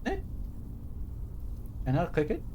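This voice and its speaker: A man's voice: fairly high pitch, wildly swooping pitch, takes it slowly, warm tone, slight background noise. A man streaming a game playthrough, rambling casually with self-mocking jokes and reading the game's text aloud. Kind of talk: casual let's-play commentary. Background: game music.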